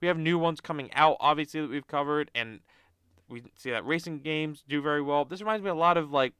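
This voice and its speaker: A man's voice: a little high, fairly quick, fairly bright tone, clean tone, no echo, studio quality. Stuffy-nosed young man rambling casually. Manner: rambling, many fillers and restarts. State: stuffy from a cold. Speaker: crypto channel host. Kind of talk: casual screen-share walkthrough.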